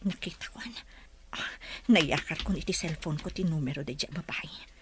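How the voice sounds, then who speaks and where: A woman's voice: deep voice, moderate pace, in a whisper, lightly acted. A woman with an Ilocano accent playing a suspicious wife secretly checking on her husband in a radio drama. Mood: furtive, hushed.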